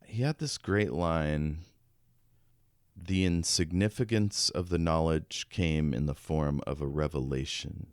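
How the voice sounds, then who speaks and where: A man's voice: extremely low pitch, a little slow, a little mellow, no room sound, studio quality. A man co-hosting a podcast, casually discussing a book and reading bits of it out. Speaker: podcast co-host.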